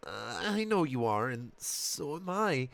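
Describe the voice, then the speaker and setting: A grieving middle-aged mother who talks slowly and softly, as a man plays her. The voice is dry and close. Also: very animated, creaky, dry recording, exaggerated voice. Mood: sad. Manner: slow, low, subdued.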